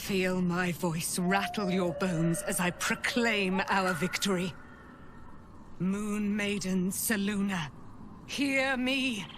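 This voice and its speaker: A woman's voice: medium pitch, slow pace, noisy background, close to an everyday voice. A woman playing a proud, devout holy warrior in a video game, formal and declamatory, as if proclaiming. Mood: triumphant.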